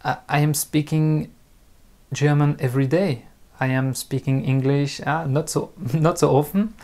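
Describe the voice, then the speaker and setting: Slow, hesitant man with strong French accent. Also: on the high side, steady tone, a little mellow. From France. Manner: hesitant, pausing often with ums and uhs. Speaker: host and French teacher. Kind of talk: unscripted talk to camera.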